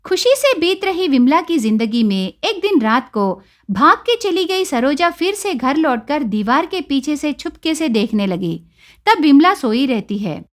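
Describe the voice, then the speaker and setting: A woman's voice: high-pitched, big pitch swings, unhurried. A woman narrator reading a scripted moral story for children.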